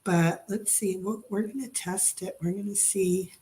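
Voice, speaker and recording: A woman hosting a casual live craft chat from her home, slightly hoarse and rambling with many fillers and restarts. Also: mid-range voice, fairly even intonation, measured pace, dark tone. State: slightly hoarse, froggy throat. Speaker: craft channel host. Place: home dining room craft table.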